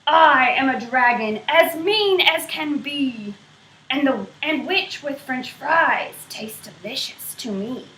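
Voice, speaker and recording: A woman voicing a mean, hungry male dragon in a storybook, speaking slowly and menacingly. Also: sits high, expressive, bright, forceful tone, distant mic, exaggerated voice. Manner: slow, menacing. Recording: roomy echo.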